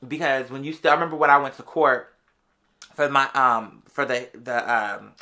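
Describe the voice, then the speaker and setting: Bright, sassy American voice in the late twenties. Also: mid-range voice, fairly even intonation, moderate pace. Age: late 20s. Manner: conversational and sassy, heavy slang, often interjecting "girl". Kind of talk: casual gossipy storytelling.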